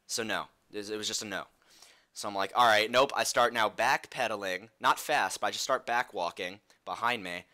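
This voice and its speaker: A young man telling a personal story casually, in an animated way, acting out bits of dialogue as he goes. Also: on the low side, normal speed. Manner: animated retelling, acts out dialogue. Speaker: storytelling video creator.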